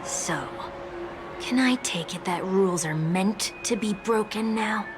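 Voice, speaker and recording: A woman's voice: medium pitch, a little slow, noisy background, close to an everyday voice. A young woman voicing a wry, sardonic adventurer in a video game, with a dry, teasing delivery. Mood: exasperated. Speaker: game character. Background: game background music.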